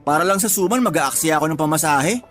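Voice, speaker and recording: Exasperated expectant father, higher-pitched voice. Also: animated, quick pace, fairly bright tone, faint music behind. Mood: exasperated recounting. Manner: complaining, colloquial storytelling. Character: exasperated man with a craving, pregnant live-in partner. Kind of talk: Tagalog radio drama dialogue.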